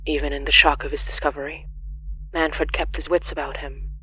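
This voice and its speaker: A narrator reading scripted horror fiction in a dramatic, deliberate storytelling manner. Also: medium pitch, level tone, muffled recording, slight background noise.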